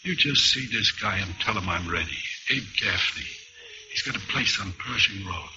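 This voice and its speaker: An actor playing a boozy, washed-up American ex-convict who coaxes and pleads in folksy mid-century radio drama style, on a muffled, noisy recording. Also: male voice, sits low, lively intonation, normal speed. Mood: pleading. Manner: coaxing, needy, folksy. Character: wheedling, boozy, washed-up ex-convict old pal.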